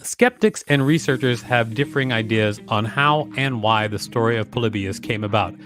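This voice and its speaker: A man co-hosting a podcast, reading an encyclopedia article aloud at a steady pace and adding relaxed quick asides. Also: mid-range voice. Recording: music bed underneath.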